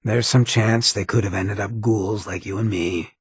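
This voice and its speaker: An actor playing a streetwise, roguish ghoul adventurer in a video game, with a heavily rasping voice and a wry, slangy, swaggering drawl. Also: male voice, on the low side, steady tone, moderate pace, dry recording, studio quality. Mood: somber, protective. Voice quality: heavily raspy, gravelly. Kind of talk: video game character acting.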